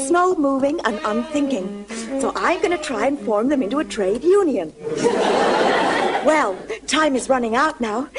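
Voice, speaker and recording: A breezy, talkative heroine in a radio comedy. Her voice is high and plucky, with clear music underneath. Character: chatty, plucky American heroine posing as zombie. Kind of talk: 1980s British radio comedy acting.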